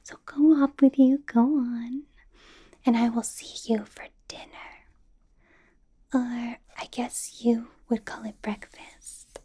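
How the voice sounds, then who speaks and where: A woman playing a doting, possessive vampire boss in a soft, breathy whispered ASMR roleplay, coaxing and fussing with a lightly bossy edge. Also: on the high side, steady tone, takes it slowly. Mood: affectionate, possessive. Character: doting, possessive female vampire boss, older than she looks.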